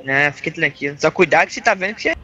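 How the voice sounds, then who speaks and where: A man's voice: on the high side, expressive, rapid-fire, muffled recording, a crowd in the background, lightly acted. A man in a game roleplay playing a mechanic, drawling and calling everyone rapaz. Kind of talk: in-character roleplay.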